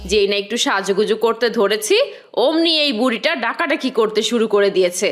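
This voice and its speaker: A woman's voice: fairly high pitch, lively intonation, fairly quick, close to an everyday voice. A woman voicing a vain, grumpy young daughter-in-law in a family cartoon, grumbling with irritation. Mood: irritated. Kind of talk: Bengali family cartoon voice acting.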